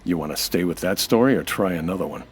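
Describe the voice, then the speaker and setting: An actor playing a suspicious, hard-boiled American nightclub owner who narrates in a wry, terse radio noir style. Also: male voice, low-pitched, quick pace, a little hiss or hum, natural voice. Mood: sceptical. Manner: wry, terse, tough-talking. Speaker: actor playing lead detective-style hero. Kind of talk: old-time radio noir monologue and dialogue.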